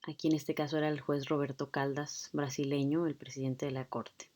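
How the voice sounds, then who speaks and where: Woman lecturer, deep for a woman, conversational explainer with fillers. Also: flat delivery, moderate pace, darkish tone. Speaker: law lecturer. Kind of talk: explaining a court hearing video.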